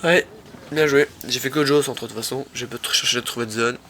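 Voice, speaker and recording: A young man with a head cold, casually commenting on his own tennis match in slangy, excitable talk to his viewers. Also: mid-range voice, fast talker, a little hiss or hum. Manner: slangy, excitable, talks to viewers as "les mecs".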